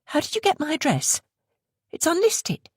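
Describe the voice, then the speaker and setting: A woman's voice: sits high, huge pitch swings, normal speed, no room sound, clean studio sound, pitched above the performer's own voice. A woman voicing a wary, defensive young woman who answers in curt, guarded questions.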